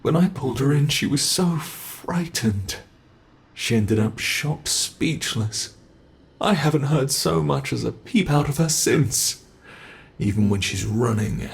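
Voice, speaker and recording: A British man voicing a cruel male monster that hunts a captive, in an ASMR horror roleplay, with a slow, taunting and menacing manner and a gravelly voice. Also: mid-range voice, expressive. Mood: gloating.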